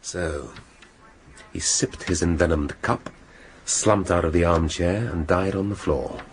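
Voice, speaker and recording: A man's voice: deep voice, unhurried, slight background noise. An actor playing a world-weary male chief inspector in a radio drama, with a dry, sardonic, articulate delivery. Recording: music behind the voice.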